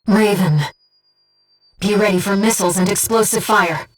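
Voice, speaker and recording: Composed, gentle female AI-like game guide, acted by a woman, slightly distant. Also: medium pitch, normal speed, faint music behind, close to an everyday voice. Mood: calm, focused. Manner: composed, earnest, precise. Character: gentle disembodied female coral being, devoted mission guide. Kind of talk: video game mission support voice acting.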